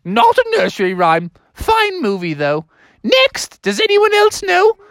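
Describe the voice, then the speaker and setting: A woman's voice: high voice, huge pitch swings, measured pace, a bit bright, no echo, clean studio sound, pitched above the performer's own voice, full character voice. A woman voicing a fussy, bossy goose substitute teacher in a storybook tale, emphatic and theatrical. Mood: dismissive, emphatic. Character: fussy, bossy female goose substitute teacher who loves nursery rhymes.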